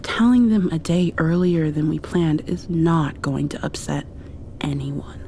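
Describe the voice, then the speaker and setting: A woman doing an ASMR roleplay, drifting between whispers and soft speech in an affectionate, playfully scolding way. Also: low-pitched, a little slow, slight background noise.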